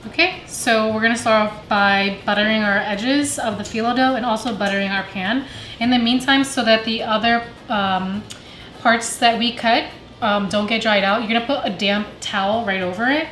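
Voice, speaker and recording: Calm woman walking through a recipe. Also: on the high side, normal speed, a little hiss or hum. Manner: calm step-by-step explaining with fillers. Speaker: home cook guest. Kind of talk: casual cooking instruction. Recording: echoey room, faint music.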